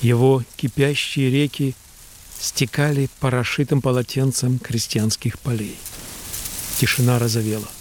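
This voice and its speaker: A man acting a contemplative reciter with a medium-pitched voice. He delivers lyrical prose in a measured, literary way for a radio play, with a faint hiss behind. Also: flat delivery, a little mellow, close to an everyday voice.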